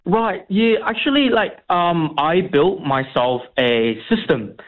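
A man's voice: fairly high pitch, lively intonation. Young man on a tinny phone line. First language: Vietnamese. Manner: halting, many fillers and restarts. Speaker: guest. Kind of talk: radio interview.

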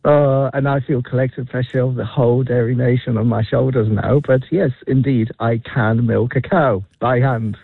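A man's voice: mid-range voice, fairly even intonation, moderate pace, creaky, few pauses, breathy edge, dry recording. A man with a Polish accent, calling in to a radio talk show and chatting with lots of fillers and laughs. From Poland. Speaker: caller. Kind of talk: casual radio chat. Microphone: phone. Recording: tinny phone line.

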